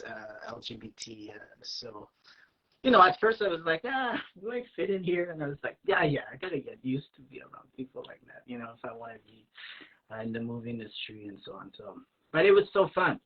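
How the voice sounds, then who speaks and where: Husky francophone man telling stories. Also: mid-range voice, moderate pace, lo-fi sound. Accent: French Canadian. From Canada. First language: French. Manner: animated, acts out quoted lines, many fillers. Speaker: guest, aspiring actor. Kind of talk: casual storytelling.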